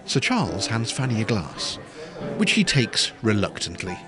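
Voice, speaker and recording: A man's voice: lowish voice, wildly swooping pitch, moderate pace, over music. A man narrating a comic story in a wry, scripted style.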